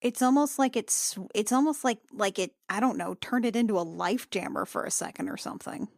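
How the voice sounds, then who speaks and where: A woman's voice: on the high side, animated, fairly quick, vocal fry, no room sound, studio quality. A woman playing a shaken, questioning female adventurer who speaks bluntly in a tabletop game. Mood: shaken, puzzled.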